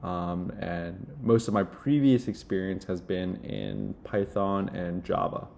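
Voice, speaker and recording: A young man speaking casually and haltingly with many filled pauses, as in a product demo. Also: deep voice, fairly even intonation, creaky, a little mellow, a little hiss or hum. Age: young adult. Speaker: software demo presenter playing a job candidate.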